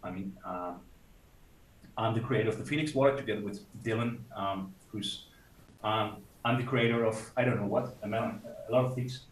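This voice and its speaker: Man from Germany, low voice, rambling casual talk, echoey room, distant mic with faint rumble. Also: normal speed. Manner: rambling, self-correcting, laughs easily. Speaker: blockchain developer and stream co-host. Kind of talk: casual livestream chat. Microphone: computer mic on a video call.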